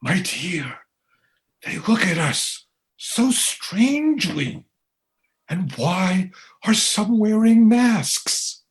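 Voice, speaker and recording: A man playing a courteous, puzzled gentleman from 1820. He talks slowly in formal, old-fashioned phrases, with a swooping pitch, as in a radio play. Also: very animated, full character voice. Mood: wary.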